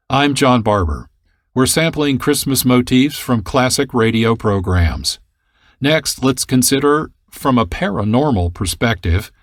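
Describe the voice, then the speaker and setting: A man's voice: mid-range voice, dry recording, clean studio sound. A man presenting a radio programme as host in a scripted, announcer style. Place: dry studio, close mic.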